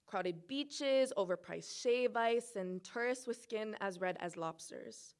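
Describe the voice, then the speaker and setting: A woman's voice: medium pitch, slow, clear, resonant tone. A young woman from Hawaii performing a personal narrative at a recital, with playful asides and comic timing. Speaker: student presenter.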